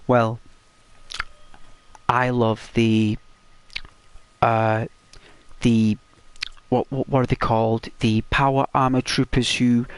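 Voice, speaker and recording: A man hosting a gaming channel, chatting casually and rambling to his viewers in a croaky, hoarse voice. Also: mid-range voice, no room sound. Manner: rambling, talks straight to viewers.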